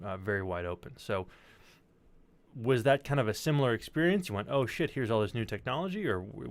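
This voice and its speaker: Man, fairly low pitch, casual interviewer, informal, sweary, livestream host.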